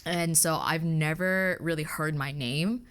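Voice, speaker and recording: A woman's voice: sits low, measured pace, a bit bright, clear, resonant tone. A woman whose first language is Cantonese, chatting as a podcast guest and filling her talk with "like". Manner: chatty, leans heavily on "like". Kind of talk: casual chat.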